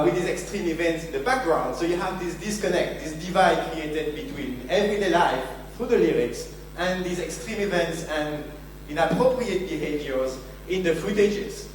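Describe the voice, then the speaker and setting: A man with a French accent giving a conference keynote, joking and self-deprecating as he talks to the audience. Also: fairly high pitch. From France. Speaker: keynote speaker. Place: large conference venue. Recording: very echoey hall, distant mic, faint hum.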